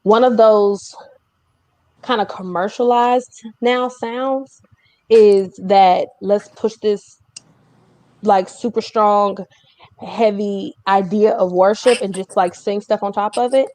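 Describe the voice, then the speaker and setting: A woman hosting a casual interview chat, telling things in an animated, emphatic way. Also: medium pitch, unhurried, no echo, low-quality recording. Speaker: host.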